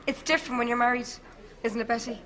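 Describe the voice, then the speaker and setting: A woman's voice: fairly high pitch, fast talker, fairly bright tone, a little hiss or hum, lightly acted. A woman playing a teasing, frustrated young Irish wife in a sitcom. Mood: teasing, exasperated. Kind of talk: sitcom acting.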